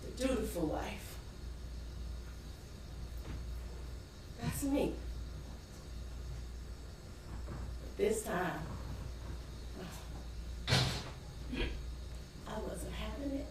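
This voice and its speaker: A weary, embittered wife and mother as a woman acts her out on stage pours out a dramatic monologue. Her pitch swings widely and she takes her time, with heavy pauses. The sound is distant and heavily echoing, as in a theater. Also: animated. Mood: defiant, weary. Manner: emotive, dramatic, with long pauses and sudden swells. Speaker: stage actor. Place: indoor stage performance space. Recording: roomy echoing theater from afar, faint noise.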